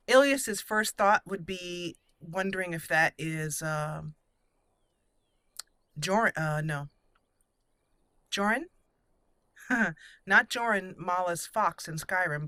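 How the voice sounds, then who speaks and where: A woman hosting a roleplay let's play, thinking aloud in a deliberate way as she comments. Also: on the low side, pushed and bright, dry recording. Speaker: gaming channel host.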